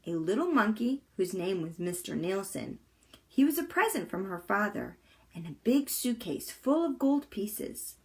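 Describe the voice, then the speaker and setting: Animated woman reading a children's story. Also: mid-range voice, measured pace. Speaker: volunteer storytime reader. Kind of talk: children's book read-aloud.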